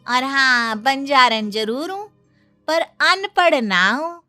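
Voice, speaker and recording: A woman's voice: high voice, lively intonation, pushed and bright, faint music behind, full character voice. A woman playing a feisty, fearless young nomad daughter-in-law, drawing out her words defiantly in a cartoon story. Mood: defiant taunting. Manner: drawn-out, defiant, taunting delivery. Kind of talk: dramatic cartoon story dialogue.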